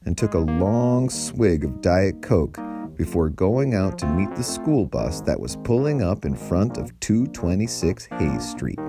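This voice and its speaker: A man reading a story aloud as a storyteller, with deliberate, dramatic phrasing and emphasis. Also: lowish voice. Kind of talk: expressive storybook narration.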